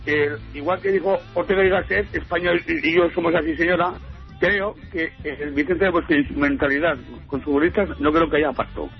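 A man with a fairly high voice and an accent from Spain calls a radio show. He speaks haltingly and repeats himself. The call sounds dull, with music behind it. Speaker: radio phone-in caller. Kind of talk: casual opinion on a call-in show.

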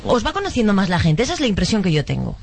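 A woman's voice: medium pitch, huge pitch swings, rapid-fire, creaky, a little hiss or hum. Chatty woman radio host. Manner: effusive, chatty questioning with many asides. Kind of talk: live radio interview. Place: radio studio.

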